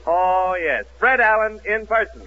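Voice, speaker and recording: A man's voice: high-pitched, lively intonation, measured pace, noisy background. An American man working as announcer and sidekick in scripted 1940s radio comedy. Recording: muffled 1940s radio recording.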